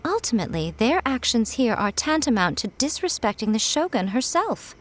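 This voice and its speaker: A woman's voice: fairly high pitch, sing-song, moderate pace. A woman playing a sly, haughty shrine priestess in a video game, teasing and condescending with veiled menace. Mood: coolly scheming. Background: soft game music.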